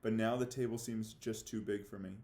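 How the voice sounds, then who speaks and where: A man's voice: lowish voice, fairly even intonation, brisk, dark tone. Echoey man teaching and reading aloud. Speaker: fifth-grade teacher. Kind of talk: read-aloud lesson for children, reading a novel with commentary. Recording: echoey room.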